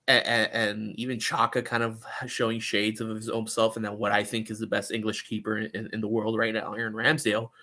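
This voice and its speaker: A man's voice: on the low side, bright, forceful tone. A man hosting a sports podcast, chatting casually about soccer and often repeating words as he thinks aloud.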